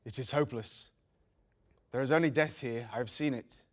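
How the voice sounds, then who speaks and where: A man's voice: mid-range voice, moderate pace, lightly acted. Man acting a zealous, solemn fantasy visionary. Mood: grim, zealous. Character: zealous, troubled male visionary addressing his troops. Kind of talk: table-read acting of fantasy drama.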